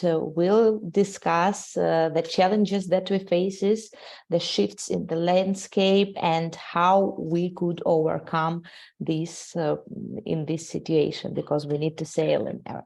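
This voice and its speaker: Ukrainian-accented woman hosting a webinar, gravelly. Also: lowish voice, fairly even intonation, darkish tone. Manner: halting, many "uh" fillers, non-native grammar. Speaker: webinar host, sales executive. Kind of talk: live webinar presentation.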